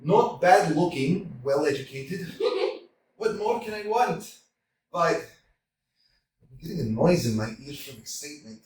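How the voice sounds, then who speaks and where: A man's voice: a little high, expressive, measured pace, long pauses, darkish tone, a little hiss or hum. A man playing a flustered, stammering thirty-five-year-old suitor who worries about his health, in a staged play reading recorded from a distance in a roomy hall. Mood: anxious. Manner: stammering, flustered, nervous laughs. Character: nervous anxious 35-year-old suitor, hypochondriac.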